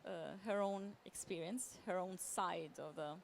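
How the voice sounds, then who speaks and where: A woman giving a semi-improvised conference presentation as a literary translator, halting and often repeating words as she searches for phrasing. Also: fairly low pitch. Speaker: literary translator, panel speaker. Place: live talk at a book fair event. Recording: clear background noise.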